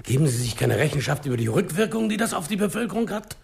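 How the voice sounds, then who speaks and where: A man's voice: on the high side, big pitch swings, fast, gravelly. A man playing an indignant, formal small-town mayor in a 1960s German radio drama. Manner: formal, reproachful. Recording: noisy old radio drama recording.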